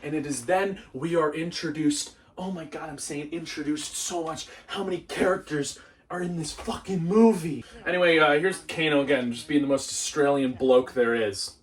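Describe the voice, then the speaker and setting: A young man doing comedic movie review commentary in a sarcastic, casual, animated way. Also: a little high, moderate pace, recorded from a distance. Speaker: movie reviewer and video host.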